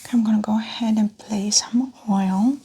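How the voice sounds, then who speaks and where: A woman in an ASMR medical roleplay, speaking in a soft, breathy whisper and calmly explaining each step as the examiner. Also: mid-range voice, normal speed, background noise.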